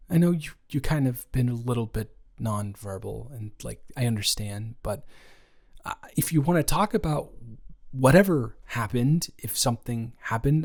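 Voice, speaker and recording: A young man playing a gentle, awkward roommate with a crush in an improvised roleplay audio, hesitant and reassuring as he talks. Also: mid-range voice.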